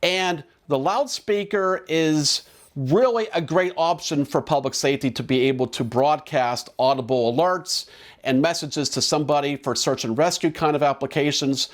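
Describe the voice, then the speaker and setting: A man's voice: fairly high pitch, normal speed. A man presenting drone equipment in a casual, conversational, explanatory product demo.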